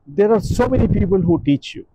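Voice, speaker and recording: A man's voice: sits high, lively intonation, fairly quick, warm tone, husky, no room sound, poor audio. An older man, a guest in an outdoor interview, speaking earnestly and emphatically, often repeating himself for emphasis, in a stone courtyard.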